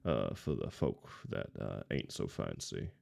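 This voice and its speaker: A hulking, slow-witted fantasy brute. The voice is rock-bottom low and heavily gravelled, swinging widely in pitch. He talks in blunt, broken, simple sentences, as in a tabletop roleplay. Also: very animated, a little mellow, full character voice. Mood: boastful, casual. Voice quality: very deep, gravelly. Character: big, slow-witted thick-thumbed brute adventurer.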